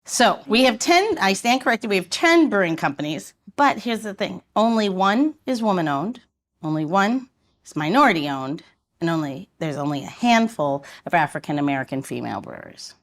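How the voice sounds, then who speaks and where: A woman's voice: fairly low pitch, big pitch swings, moderate pace. A woman hosting a podcast, introducing the topic and guest in a chatty, conversational style. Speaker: podcast host.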